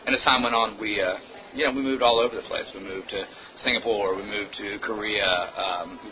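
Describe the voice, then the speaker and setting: A male musician talks in a relaxed, rambling way, his voice sitting a little low. Clear room noise from a music club runs under his words. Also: brisk, rough recording. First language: Indonesian. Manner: relaxed, rambling, many fillers and "man". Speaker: musician, interview guest. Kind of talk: casual interview chat.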